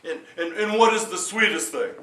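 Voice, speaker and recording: A man voicing a loud, jolly, demanding king who laughs heartily and drags out his threats, recorded in a roomy space from a distance. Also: high-pitched, moderate pace, pitched above the performer's own voice. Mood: commanding. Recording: roomy echo, distant mic.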